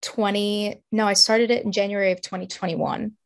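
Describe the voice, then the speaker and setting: A young woman in her twenties from Ukraine with an American accent, answering interview questions in a measured, thoughtful way. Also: mid-range voice, fairly even intonation, creaky. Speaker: guest, co-founder.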